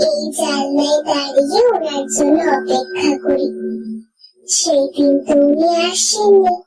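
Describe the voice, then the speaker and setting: A woman's voice: very high-pitched, darkish tone, low-quality recording. A woman playing a coy, familiar young married neighbour with an eerie wistfulness in a horror audio story, speaking in a coaxing way. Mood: irritated, insistent.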